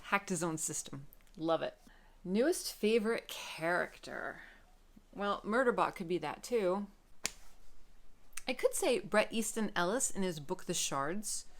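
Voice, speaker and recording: A woman book vlogger chatting casually to camera in an expressive, emphatic way. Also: lowish voice, measured pace, a little hiss or hum.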